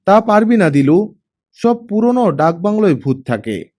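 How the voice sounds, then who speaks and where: A man's voice: high voice, sing-song, unhurried, a little mellow, voice pushed up, heavily acted. A man voicing an easygoing, knowledgeable male character in an audiobook, talking informally. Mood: teasing.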